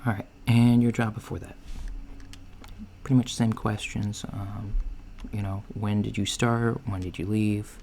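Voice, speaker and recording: A man playing a laid-back alien job interviewer in a soft-spoken ASMR roleplay, hesitant and matter-of-fact, with keyboard typing behind him. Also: on the low side, flat delivery, unhurried. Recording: faint noise, lo-fi ASMR, keyboard sounds. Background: computer keyboard typing and clicks.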